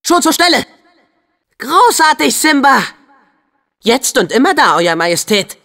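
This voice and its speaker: Teenage boy hero for a game dub: earnest, plucky, very expressive pitch, easy pace. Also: unhurried, gravelly, in a large hall, exaggerated voice. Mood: warm. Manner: eager, expressive, youthful delivery. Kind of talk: German video game dub acting.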